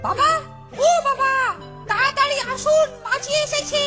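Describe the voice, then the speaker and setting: A woman's voice: very high voice, a little slow. Piping, naive little boy. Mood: alarmed, protesting. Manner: protesting, exclaiming. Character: naive, obedient young shopkeeper's son, easily alarmed. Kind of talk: cartoon voice acting. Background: loud jingly children's music.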